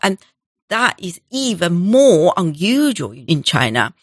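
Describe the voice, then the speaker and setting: A middle-aged woman from Taiwan, speaking as an interview guest and telling personal stories in halting, with restarts. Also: on the low side, very animated, no room sound, studio quality. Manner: halting, non-native phrasing with restarts. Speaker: guest, animal welfare director. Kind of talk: conversational interview storytelling.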